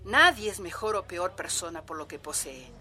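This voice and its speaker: Bright-voiced earnest village woman activist. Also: fairly low pitch, big pitch swings, normal speed. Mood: earnest, resolute. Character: earnest village woman activist, sincere, proud small farmer. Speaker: interviewed neighbour in a radio drama. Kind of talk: radio drama acting, TV interview.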